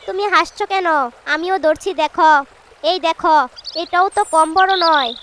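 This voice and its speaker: A village wife voiced by a woman speaks in a very high voice, with clear noise and chirping birds behind her. She is a cartoon figure, competitive and affectionate within her household. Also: fairly even intonation, normal speed, fairly bright tone. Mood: boastful, competitive. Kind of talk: Bangla cartoon voice acting.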